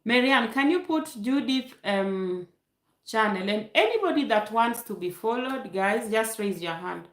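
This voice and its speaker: A woman's voice: on the high side, lively intonation, measured pace. Chatty Kenyan woman in an echoey room. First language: Luo. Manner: chatty, reads viewer comments aloud, laughs often. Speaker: YouTube livestream host. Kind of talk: casual live Q&A with chat.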